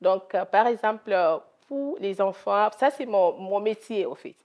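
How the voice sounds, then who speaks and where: A woman's voice: medium pitch, expressive, measured pace, darkish tone. Togolese-accented woman, devout interview guest. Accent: Togolese French. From Togo. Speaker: interview guest, gospel singer. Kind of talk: conversational TV interview answers.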